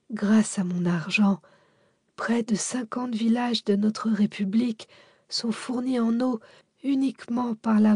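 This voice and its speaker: A man's voice: high voice, a little mellow, dry recording, clean studio sound, pitched above the performer's own voice, heavily acted. A woman voicing an old widowed benefactor, weakened and whispery, confiding secrets with pride and tenderness. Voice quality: whispery, breathy, faint.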